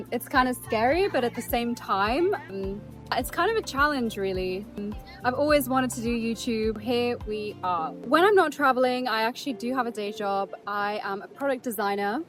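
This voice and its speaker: Chatty British-accented woman vlogging outdoors. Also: on the high side. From Netherlands. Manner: chatty, rambling, with fillers and restarts. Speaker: travel vlogger. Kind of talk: casual vlog to camera. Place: windy beach, outdoors.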